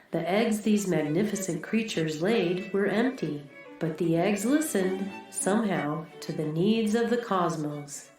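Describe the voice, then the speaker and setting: An actress playing a solemn recorded museum guide, telling a myth in scripted storytelling style. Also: female voice, lowish voice, a little mellow, natural voice. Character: solemn recorded female museum exhibit narrator. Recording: heavily echoing, music underneath.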